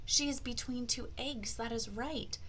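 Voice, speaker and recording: A woman's voice: fairly high pitch, measured pace, fairly bright tone, slight background noise. A woman hosting an interactive lesson for young children, playfully exaggerated and encouraging, asking questions and drawing out key words. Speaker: children's teacher and host of a read-aloud language activity.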